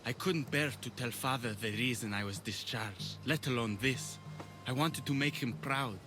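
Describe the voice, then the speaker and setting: A man's voice: mid-range voice, normal speed, over music, lightly acted. A man acting a troubled ancient Greek war veteran in a video game, earnest and vulnerable in his delivery. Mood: sorrowful confession.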